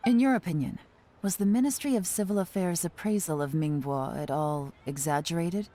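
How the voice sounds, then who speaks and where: A woman voicing a cool, secretive female intelligence agent in a video game, calm and measured. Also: lowish voice, close to an everyday voice. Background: faint background game music.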